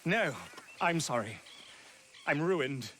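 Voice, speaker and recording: A man's voice: fairly high pitch, lively intonation, slow pace, a bit bright, a little hiss or hum. A male actor playing a flamboyant British stage animal-tamer showman in drag, theatrical and grandiloquent, in a video game cutscene. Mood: despairing, indignant.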